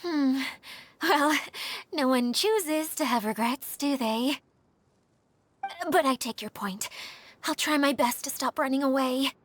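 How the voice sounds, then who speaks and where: A woman's voice: sits high, takes it slowly, slight background noise. A woman voicing an anxious, polite young female caretaker in a video game, speaking formally and hesitantly. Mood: hesitant, downcast.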